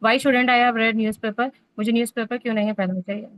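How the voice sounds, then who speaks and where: A young female student reciting a grammar drill, slow in English and quick in Hindi. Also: fairly high pitch, steady tone, dry recording.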